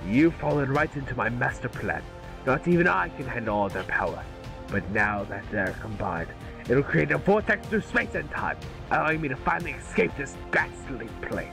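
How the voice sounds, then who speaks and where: A man's voice: mid-range voice, expressive, moderate pace, creaky, music in the background. A man voicing a scheming male villain lord in an amateur table read, gloating as he speaks.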